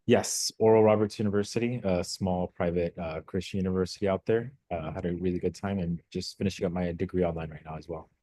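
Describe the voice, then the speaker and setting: A young man from Mexico, a local elected official, answering interview questions in a measured, reflective way with frequent filler words. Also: sits low, fairly even intonation, warm tone. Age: young adult.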